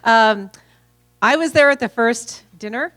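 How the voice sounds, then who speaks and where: A woman's voice: on the high side, unhurried, a bit bright. A middle-aged woman giving casual, enthusiastic welcoming remarks at a conference lunch, with plenty of fillers. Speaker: event speaker.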